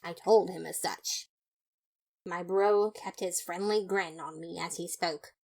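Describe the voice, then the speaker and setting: A woman's voice: fairly low pitch, steady tone, a little slow, creaky, darkish tone, low-quality recording. A woman voicing an earnest, boastful male skeleton detective who narrates his case in a fan audio drama, theatrical and emphatic. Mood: earnest, dramatic. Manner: theatrical, self-satisfied, emphatic.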